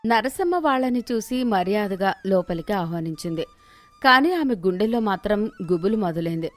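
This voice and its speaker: Female narrator, mid-pitched, scripted moral storytelling, dry recording, faint music. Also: expressive, a little fast, no room sound.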